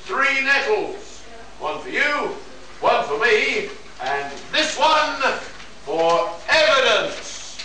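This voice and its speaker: A man's voice: very high-pitched, big pitch swings, drawn-out words, heavily acted. A man playing a cocky space detective in a pulp radio play, laying out his case in a theatrical, expository way. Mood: smug triumphant. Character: cocky male space detective explaining a murder. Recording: echoey hall, distant mic, faint noise.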